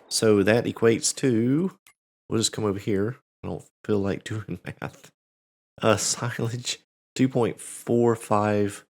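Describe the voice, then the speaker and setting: A man hosting a farming game playthrough, congested and a bit cracking, talking in a relaxed, rambling way with many fillers and restarts. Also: lowish voice, vocal fry. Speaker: let's play host. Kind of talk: casual gameplay commentary.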